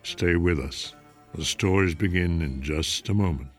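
A man hosting a radio mystery show, reading scripted narration in a measured, dramatic way. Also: low-pitched, soft background music.

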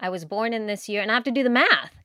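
A woman's voice: on the high side, animated, quick pace, pushed and bright, no echo, studio quality. A woman in her forties co-hosting a casual, reflective chat, emphatic and often searching for her words. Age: 40s. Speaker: podcast co-host.

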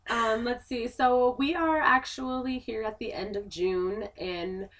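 A woman's voice: a little high, unhurried, a bit bright, far from the mic. A young woman co-hosting a casual podcast from a home podcast room, playful and swearing, laughing often. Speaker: podcast co-host. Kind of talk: casual chat.

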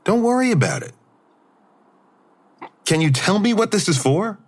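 An actor playing a patient, skeptical friend in an audio drama, speaking in a measured, deadpan way. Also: male voice, high voice, exaggerated voice. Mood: careful. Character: patient, skeptical male friend, careful and obliging. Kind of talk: naturalistic audio drama dialogue.

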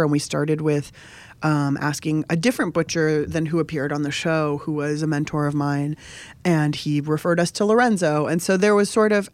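A woman's voice: fairly low pitch, no echo. A young adult woman from San Diego, a cook and show host, talking casually in an interview, chatty and often laughing.

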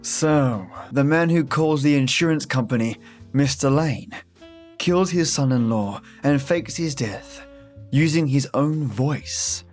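A man's voice: mid-range voice, slow, a little mellow, faint music behind. A man hosting a podcast, narrating an outro in a dramatic, effusive way. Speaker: podcast host. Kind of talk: scripted narration, show outro.

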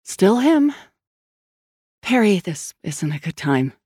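A man's voice: high voice, big pitch swings, slow pace, a little mellow, slightly rough, dry recording, clean studio sound, exaggerated voice. A man voicing a weary, guarded woman police detective in her thirties, terse and exasperated, in an audiobook. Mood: wary.

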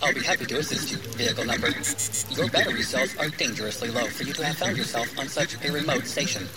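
A man's voice: mid-range voice, fast talker, over a noisy crowd, natural voice. A man playing a courteous, rule-bound robot attendant who speaks in formulaic customer-service phrases in an audio drama. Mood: obliging, chirpy.

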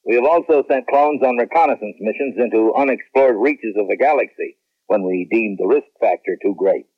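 Guy as a commanding space agency general in an old radio play, measured and official, thin tinny dry sound. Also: medium pitch, dry recording, natural voice. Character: authoritative male general heading a space agency. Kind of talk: 1970s radio drama acting.

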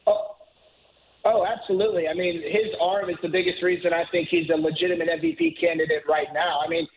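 A man's voice: high-pitched, fairly even intonation, fairly quick. A man calling in as a football writer, talking analytically and fluently, now and then repeating a word as he starts a thought. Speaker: NFL writer guest. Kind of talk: phone-in radio interview. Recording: tinny phone line.

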